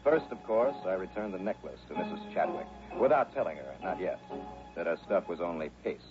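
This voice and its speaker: An American actor playing a wry, confident private investigator who narrates his case in a brisk 1960s radio drama style. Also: deep voice, lively intonation. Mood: brisk narrating. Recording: dull vintage radio recording with music.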